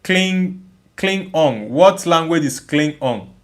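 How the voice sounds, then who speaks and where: A man with a slightly high voice hosts a casual livestream. He reads out comments from viewers and answers them emphatically, often repeating a phrase while he thinks it through. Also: animated, slow pace. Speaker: livestream host. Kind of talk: casual live chat, reading viewer comments aloud and replying.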